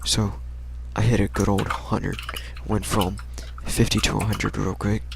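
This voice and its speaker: A young American man with a low-pitched voice full of vocal fry. He tells an everyday story casually, in slangy, halting fragments that ramble along. There is a faint layer of noise behind him. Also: flat delivery. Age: young adult. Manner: rambling, slangy, hesitant delivery.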